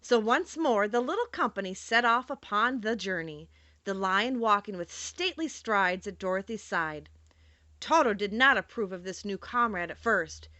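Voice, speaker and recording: Bright, expressive woman reading a storybook. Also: mid-range voice, normal speed, dry recording. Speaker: audiobook narrator. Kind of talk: expressive audiobook narration.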